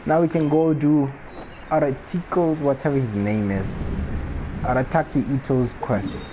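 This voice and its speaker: A man's voice: mid-range voice, steady tone, moderate pace. A man from Botswana with a Botswana English accent, chatting casually and laughing often while live-streaming a game. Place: home room during a rainstorm. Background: faint rain and ambient noise.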